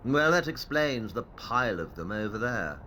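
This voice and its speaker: A man's voice: mid-range voice, big pitch swings, normal speed, pushed and bright, slight background noise. An actor playing a coolly logical, aloof male detective in an audio drama, with a detached, matter-of-fact, clipped delivery.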